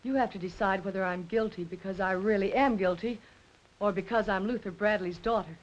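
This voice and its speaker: A woman's voice: medium pitch, fairly even intonation, fairly quick, close to an everyday voice. Composed, wary young woman played by an actress, muffled. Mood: guarded. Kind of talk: 1940s crime film acting. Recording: muffled 1940s film soundtrack.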